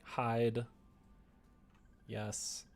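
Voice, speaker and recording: A man is livestreaming as he works, thinking out loud in a casual, playful manner. He speaks at an unhurried, slow pace in a medium-pitched voice. His talk is giggly and informal, mostly addressed to himself. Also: monotone. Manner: giggly, self-talking, playful. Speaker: streamer working on a visual novel. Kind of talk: casual livestream chat, thinking aloud while working.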